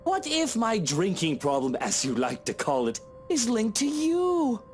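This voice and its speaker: An actor playing a sardonic, self-pitying husband who drinks, drawling with mock affection in a visual novel. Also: male voice, high-pitched, huge pitch swings, measured pace, slight background noise, full character voice. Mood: sardonic, needling.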